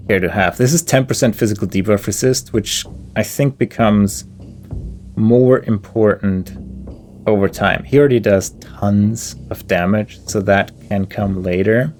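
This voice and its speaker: A man commentating his gameplay, thinking aloud as he weighs options, with pauses and fillers. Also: fairly low pitch, darkish tone. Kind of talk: thinking aloud while playing.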